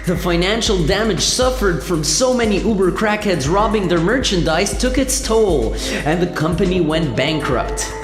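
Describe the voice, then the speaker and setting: A woman's voice: fairly low pitch, lively intonation, normal speed. A woman reading scripted narration for a sci-fi comedy radio play. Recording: echoey long reverb over music.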